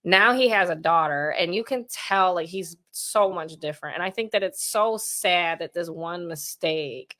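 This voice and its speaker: A woman's voice: lowish voice, normal speed, few pauses, bright, forceful tone. A woman in her thirties talking candidly as a podcast guest, earnest and rambling, often asking "you know what I'm saying". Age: 30s. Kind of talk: candid conversational talk.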